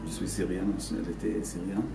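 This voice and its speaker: A man in his twenties from Syria, speaking haltingly in French as an interviewee, full of fillers and restarts. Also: sits low, little pitch movement, vocal fry, mellow, faint music behind. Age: 20s. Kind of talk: hesitant testimony in a learned language. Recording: roomy and noisy, from a distance.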